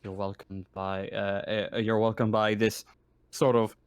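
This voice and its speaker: Halting male game master, dry close sound. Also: on the low side, level tone, clean tone, no room sound. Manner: halting, stammering, rephrasing often. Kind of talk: improvised tabletop game narration.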